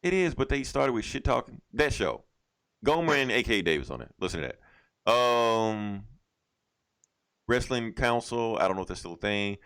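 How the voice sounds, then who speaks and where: A man hosting a casual podcast, loose and halting as he thinks aloud. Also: mid-range voice, animated, a bit bright, smooth, no echo. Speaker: podcast host. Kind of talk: casual chat, show sign-off.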